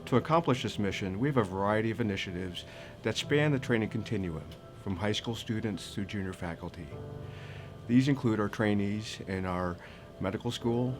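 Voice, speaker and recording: Male program director for a promotional film, low voice, speaking over a clear music bed. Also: moderate pace. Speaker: cancer research training director. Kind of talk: promotional explanation to camera.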